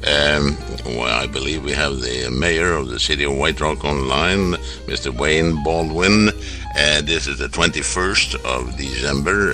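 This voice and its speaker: Very deep-voiced male radio host, halting. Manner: halting, rambling and polite. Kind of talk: informal radio interview.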